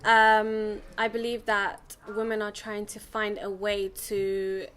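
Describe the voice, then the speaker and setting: Young woman, flat measured speech. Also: medium pitch. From England. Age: young adult. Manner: even, measured delivery with frequent ums. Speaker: guest. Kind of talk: casual studio interview.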